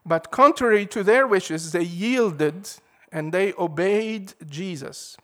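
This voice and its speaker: A man preaching a sermon in a measured, emphatic way, leaning hard on key words. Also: high-pitched, a bit bright.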